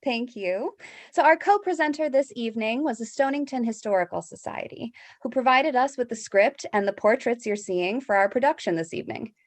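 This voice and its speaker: A woman's voice: a little high, animated, fairly quick, a little hiss or hum. A woman giving a scripted announcement as a board member at a theater production.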